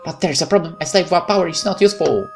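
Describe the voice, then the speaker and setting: A woman's voice: fairly low pitch, rapid-fire, gravelly, a little mellow, faint music behind, voice pushed up. A woman voicing a gloating, seductively malicious supernatural mistress in a visual novel, haughty, theatrical and gleeful. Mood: smug, commanding.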